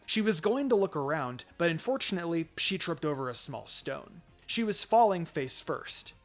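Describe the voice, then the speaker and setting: Man for dramatic fan fiction narration, fairly high voice, music under the read. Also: sing-song, normal speed.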